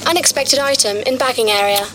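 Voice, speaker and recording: A woman's voice: high-pitched, fairly quick, natural voice, low-quality recording. A woman playing a smug supermarket self-checkout machine in a comic advert, mockingly apologetic and taunting. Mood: robotic, stuck. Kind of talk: comedic advert acting.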